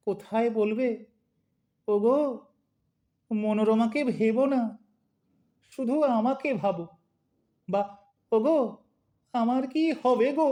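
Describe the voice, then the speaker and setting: A woman's voice: dark tone, natural voice. A woman voicing an aggrieved husband in his eighties, thin-voiced, plaintive and theatrical, in a comic audio drama. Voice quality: thin, squeaky. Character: aggrieved, melodramatic 82-year-old lovelorn husband.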